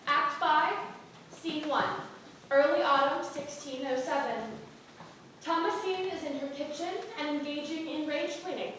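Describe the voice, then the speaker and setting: A woman's voice: high voice, steady tone, measured pace, fairly bright tone. A woman narrating, reading out stage directions at a staged reading of a play. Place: indoor playhouse before an audience. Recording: very echoey theater, distant noisy mic.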